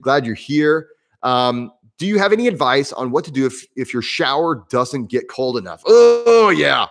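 A man in his thirties from southern Indiana, with a southern Indiana Midwestern accent, hosting a casual live Q&A stream from a room at home on a desk microphone and talking in a chatty, animated way. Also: mid-range voice. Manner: chatty and animated, frequent self-restarts and laughs, gestures with hands. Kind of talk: casual live-stream talk answering viewer questions. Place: home room, indoors, medium size. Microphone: desk microphone in front, webcam framing.

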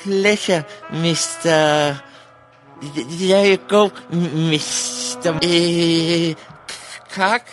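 An actor playing a nervous, apologetic rodent motel receptionist in a cartoon noir game, stammering and hesitant. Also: male voice, a little high, steady tone, drawn-out words, a bit bright, faint music behind.

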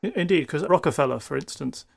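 A man's voice: fairly high pitch, fast talker, vocal fry, breathy edge. A man, conducting an interview in a polite, conversational way.

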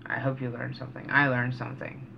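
A middle-aged man talking casually and reflectively to camera as a vlogger, earnest and halting, pausing mid-sentence, in a small room indoors. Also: mid-range voice, monotone, gravelly. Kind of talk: casual, reflective vlog talk. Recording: dull, noisy home recording.

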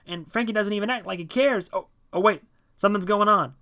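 A man voicing an excitable, alarmed male friend who shouts profane play-by-play in short exclamatory bursts for an audio story. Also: fairly high pitch, fairly quick, natural voice. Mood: frantic excitement.

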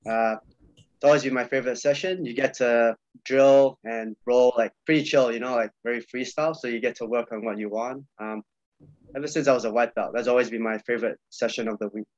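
A young man talks casually over a webcam call, loose and easygoing with plenty of filler words. His voice sits at an average pitch. The audio is muffled and bone-dry, with no room sound at all. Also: a little fast, no echo. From Malaysia. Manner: relaxed, loose, many fillers and repeats. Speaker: podcast guest, jiu-jitsu coach. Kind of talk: casual video-call chat. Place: small room indoors. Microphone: video call webcam.

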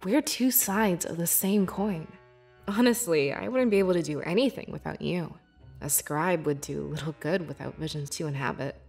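A woman playing a young, devoted beast-folk scribe in a fantasy video game, with earnest, measured and expressive delivery. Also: low-pitched, gravelly, soft background music. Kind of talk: scripted video game character dialogue.

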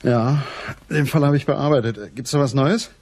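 An actor playing a matter-of-fact, weary private detective in a radio crime drama, with a dry, measured manner. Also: male voice, medium pitch, a little mellow, breathy edge, lightly acted. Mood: dry, casual.

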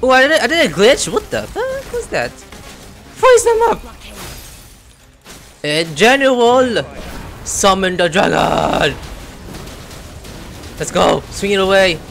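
Young male streamer, high lively voice, slow-paced excitable play-by-play. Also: vocal fry, soft background music. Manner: excitable, swears and shouts at enemies. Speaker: gaming streamer. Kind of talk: casual gameplay commentary.

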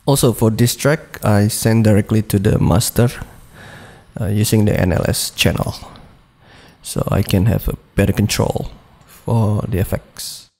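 A man slowly talking through a music production project over a screen recording, with frequent pauses, "uh" and "okay". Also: on the low side, darkish tone. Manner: slow, hesitant walkthrough with frequent "uh" and "okay".

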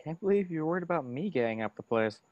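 Woman reading as lively, sassy young male roommate. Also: low voice, fast, dull audio. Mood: teasing. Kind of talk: amateur table reading of a film script. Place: remote video call. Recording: noisy call with clicks.

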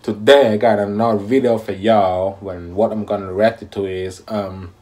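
Man, 30s, lowish voice, casual reaction host, rambling with fillers, home webcam mic. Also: fairly even intonation, moderate pace, warm tone. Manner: laid-back, rambling, many fillers. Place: small room at home, indoors.

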